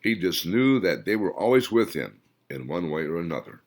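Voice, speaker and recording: Man with a lively, low narrating voice. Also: normal speed. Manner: expressive dramatic reading. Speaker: author and narrator. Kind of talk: audiobook narration.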